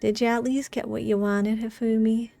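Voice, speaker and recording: A woman's voice: a little high, flat delivery, moderate pace, vocal fry, warm tone, dry recording. A woman streaming a video game, chatting casually with her viewers as she plays. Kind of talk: casual chat with viewers during gameplay.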